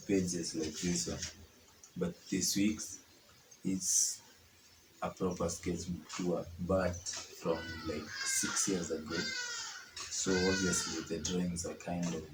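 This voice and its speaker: A hoarse young man chatting informally as he critiques his old drawings, full of fillers. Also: low voice, roomy sound, background noise. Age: young adult. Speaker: artist. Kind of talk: casual art tutorial chat.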